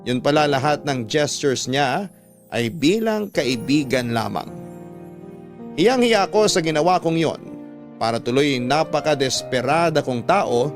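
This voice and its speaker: A man's voice: a little high, lively intonation, unhurried, soft background music. A man narrating a dramatic first-person story in a confessional, heartfelt manner.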